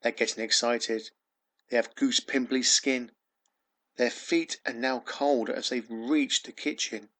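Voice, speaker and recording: A man's voice: medium pitch, steady tone, slow, a bit bright. A man reading his own rhyming children's story aloud, expressively, with dramatic emphasis and sing-song refrains. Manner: dramatic emphasis on key words.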